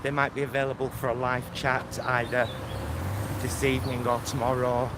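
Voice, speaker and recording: A man talks casually into a handheld camera as he walks outdoors. His voice sits at a middling pitch and his chat meanders, often trailing off. Loud traffic and outdoor noise runs heavily through the recording. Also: flat delivery, normal speed. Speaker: vlogger. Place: outdoors on a walk near a motorway.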